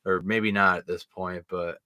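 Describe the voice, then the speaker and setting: A young man co-hosting a casual live stream, relaxed and joking as he rambles through memories. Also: low voice, moderate pace, no echo, clean studio sound. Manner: laid-back, joking, rambling.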